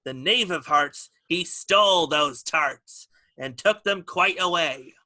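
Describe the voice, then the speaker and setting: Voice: medium pitch, takes it slowly, bright, forceful tone. A performer playing a fussy, officious rabbit court herald, declaiming in a dramatic reading. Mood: proclaiming. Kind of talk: dramatic reading of a classic children's story.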